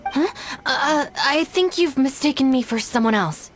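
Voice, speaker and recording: A woman's voice: sits high, animated, measured pace, a little hiss or hum. A woman voicing a guarded, no-nonsense young woman in a video game, reserved and matter-of-fact. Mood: reserved, weary.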